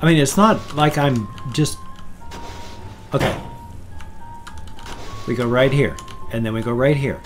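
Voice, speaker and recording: An older man in his sixties hosts a casual let's play, chatting and muttering along as he goes. His voice sits at an ordinary middle pitch, recorded close at home, with loud game music behind him. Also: unhurried, pauses often. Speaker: gaming channel host. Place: small room at home. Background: loud video game music.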